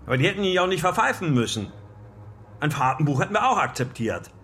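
An actor playing a gruff, stubborn older uncle with a curt, self-righteous manner in a German radio drama. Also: male voice, on the high side, big pitch swings, fast talker, a bit bright. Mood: agitated, defensive.

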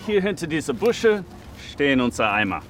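A man's voice: a little high, very animated, normal speed. Homeless man amid street noise. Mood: matter-of-fact, proud. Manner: slightly broken German grammar, plain-spoken. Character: proud, easygoing homeless male sanitation worker in Detroit. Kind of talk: naturalistic radio drama, documentary interview. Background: outdoor urban ambience with vehicles.

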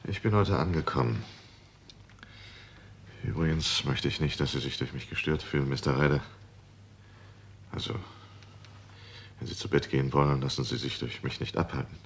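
An actor playing a courteous, formal gentleman visitor in a 1960s German crime radio play, with a gravelly voice. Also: rumbling low, moderate pace, pauses often, slight background noise. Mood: polite, controlled. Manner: polite, smooth-spoken, formal. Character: courteous visitor, the dead householder's brother.

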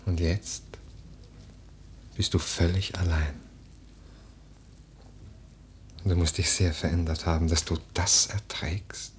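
An actor playing an earnest, melancholy nobleman in a literary radio drama, speaking in a soft, husky voice with grave, measured phrasing. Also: deep voice, level tone, a little hiss or hum. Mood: pitying, gentle. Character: earnest, melancholy nobleman, the widow's admonishing cousin.

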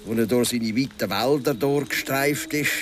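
An actor playing a philosophising local guide and storyteller in a Swiss German radio drama, speaking in a deliberate, dramatic way. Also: male voice, mid-range voice, slight background noise, lightly acted. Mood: grave, dramatic. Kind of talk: radio drama, telling a folk legend.

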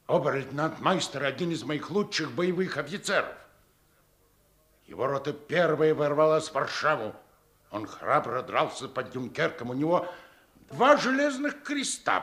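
Proud, high-voiced German colonel. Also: male voice, very animated, a little slow, long pauses, a bit bright. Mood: indignant. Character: proud German colonel, loyal defender of his officer. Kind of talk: 1950s Soviet radio theatre acting.

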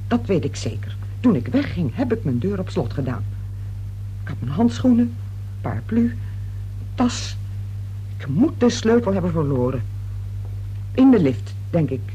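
A woman's voice: lowish voice, sing-song, measured pace, long pauses, mellow, low-quality recording. An actress in a radio play playing a nervous, defensive woman under questioning, hesitant and insistent as she protests. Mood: insistent, uneasy. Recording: radio play recording with hiss and hum.

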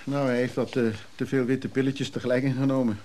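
An actor playing a gruff, guarded man who lives on a leaky boat, speaking colloquially in a naturalistic radio drama. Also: medium pitch, little pitch movement, a little fast, a little mellow, a little hiss or hum, close to an everyday voice. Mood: awkward.

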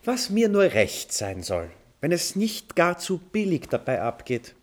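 A witty, genial gentleman composer in a radio play. His voice sits a little high and swings freely, with urbane, playful theatricality. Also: huge pitch swings, a little slow, loud music behind the voice. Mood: jovial, assured. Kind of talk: German radio play acting.

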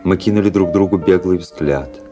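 An actor playing a cool, world-weary young aristocratic officer in a Russian radio drama, dry, polished and ironic. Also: male voice, deep voice, moderate pace, music in the background, lightly acted. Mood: reflective narration. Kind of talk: Russian literary radio drama acting and narration.

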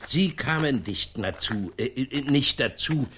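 An actor playing a bumbling storyteller who trips over words and swaps syllables in comic radio narration. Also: male voice, medium pitch, animated, measured pace, background noise, natural voice. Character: bumbling, tongue-tripping male storyteller.

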